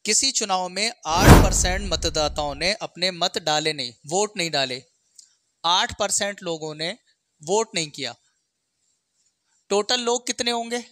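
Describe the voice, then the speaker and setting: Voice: medium pitch, animated, a little slow, fairly bright tone, no echo. A maths teacher explains exam problems step by step, often checking in with short questions.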